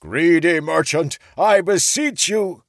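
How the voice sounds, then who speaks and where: A man's voice: a little high, slow pace, dry recording, clean studio sound, voice pushed up. A man voicing a male adventurer in an audiobook who puts on grand, mock-archaic heroic oratory. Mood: earnest declaiming. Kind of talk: audiobook character acting.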